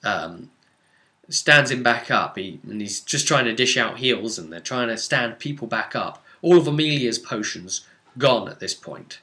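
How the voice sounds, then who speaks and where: Bright, forceful man recounting a game. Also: mid-range voice, lively intonation, unhurried. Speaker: tabletop game master recounting a session. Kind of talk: casual recap of a tabletop role-playing game.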